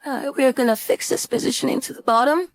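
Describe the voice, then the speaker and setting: A woman instructor giving a casual screen-share software tutorial, informally thinking aloud step by step into a close mic. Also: high-pitched, a little fast, dry recording. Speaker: design instructor.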